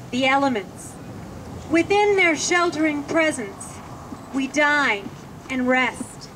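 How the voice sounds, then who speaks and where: Lady reading a poem real slow and solemn, high voice, bit of background noise. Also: fairly bright tone, poor audio. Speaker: poet reading at a ceremony. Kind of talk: solemn poetry recitation. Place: outdoors at a public ceremony. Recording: faint outdoor background noise.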